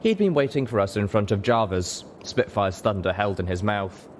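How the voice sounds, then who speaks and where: Low-voiced man narrating a story. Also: expressive, moderate pace, a little hiss or hum. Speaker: audiobook narrator. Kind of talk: scripted dramatic narration.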